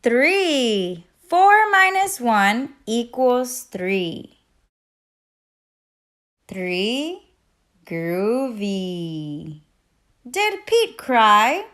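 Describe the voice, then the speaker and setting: A woman's voice: fairly high pitch, sing-song, very slow, pauses often, fairly bright tone. A woman reading a children's picture book aloud expressively, putting questions to young listeners. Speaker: teacher and children's read-aloud host.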